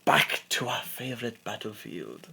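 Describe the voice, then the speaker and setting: Gleeful warmonger anime major, theatrical speech, gravelly n sharp, breathy rasp. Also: male voice, fairly low pitch, very animated, unhurried, exaggerated voice. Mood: grand, menacing. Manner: grandiose, theatrical, savouring each phrase, swinging from hush to shout. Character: gleefully war-mad Nazi major, bombastic cartoon villain. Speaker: amateur voice actor. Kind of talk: theatrical anime villain monologue. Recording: amateur recording on a poor mic.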